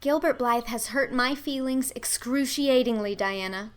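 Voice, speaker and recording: A woman's voice: fairly high pitch, unhurried, pitched above the performer's own voice, close to an everyday voice. A woman voicing a proud, hurt schoolgirl with a passionate, emphatic, dignified delivery in a storybook reading. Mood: wounded, firm. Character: fiery, proud, hurt schoolgirl with dramatic flair.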